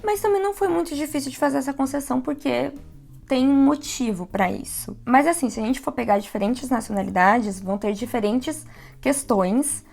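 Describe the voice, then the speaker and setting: A Brazilian woman from São Paulo with a softened São Paulo accent, giving chatty advice in a casual vlog. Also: high voice, normal speed, vocal fry, soft background music. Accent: São Paulo Portuguese, softened. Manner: chatty, reflective, laughs easily. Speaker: vlogger living abroad.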